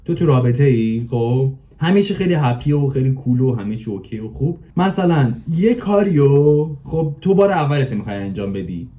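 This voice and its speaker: Very dark-voiced young man, slightly muffled. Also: mid-range voice, lively intonation, few pauses. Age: 20s. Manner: explanatory, colloquial, repeats for emphasis. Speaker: podcast guest. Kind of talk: casual chat. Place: living room, indoors. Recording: dull, muffled video call audio.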